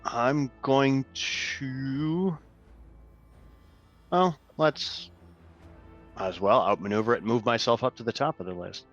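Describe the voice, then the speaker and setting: A man's voice: medium pitch, slow, pauses often, fairly bright tone, muffled recording, soft background music. A man playing a tabletop game, talking casually and thinking aloud as he decides his moves. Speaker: player.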